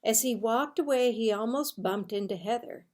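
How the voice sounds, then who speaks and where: A woman reading a children's storybook aloud in a deliberate, expressive storytelling way. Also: a little high.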